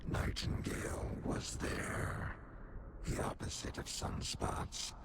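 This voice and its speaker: An actor playing a menacing, haunted man who speaks cryptic riddles in a halting, gravelly half-whisper. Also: natural voice. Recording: loud noisy ambience over the voice.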